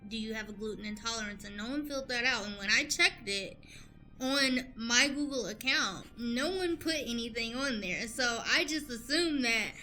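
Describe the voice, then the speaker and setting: Woman as a fairly high-voiced student intern. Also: moderate pace, pushed and bright, low-quality recording. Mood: defensive, stressed. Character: stressed, casual young college-student intern, female. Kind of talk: improvised comedic radio play acting.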